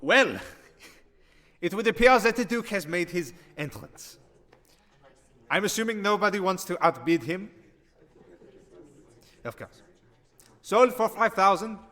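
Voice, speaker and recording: Guy doing fantasy auctioneer, big echoey hall, pretty high male voice, grandiose showman patter, wry. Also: animated, unhurried, pauses often, pushed and bright, full character voice. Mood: wry, announcing. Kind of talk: fantasy audio drama. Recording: long echoing hall reverb.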